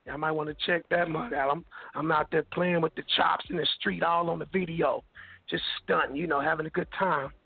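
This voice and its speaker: A man from Kansas City, Missouri, with a hoarse, scratchy voice, chatting laid-back and slangy as a guest rapper. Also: fairly high pitch, steady tone, brisk, dry recording. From Kansas City, Missouri, USA. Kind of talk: casual interview chat. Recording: dry tinny phone line.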